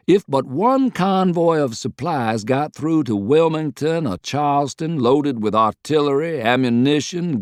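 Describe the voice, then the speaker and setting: Shrewd male statesman for audiobook, acted by a male narrator: eloquent, deliberate, persuasive, pitched up. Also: a little mellow, no room sound, studio quality, natural voice. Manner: deliberate, articulate, rhetorical.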